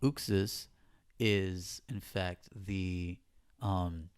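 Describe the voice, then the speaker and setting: A man from Perth with an Australian accent, a podcast guest telling animated stories in slangy, casual talk. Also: low voice, drawn-out words, smooth, no echo, studio quality. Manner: animated storyteller, slangy and nerdy. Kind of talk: casual storytelling chat.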